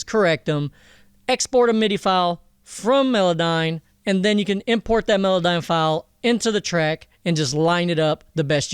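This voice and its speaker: A man with a high, clear voice walks listeners through software in a casual tutorial. His delivery is slangy and joking, with an irreverent streak. He is recorded close and dry, as a voice-over. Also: dry recording. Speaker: tutorial presenter.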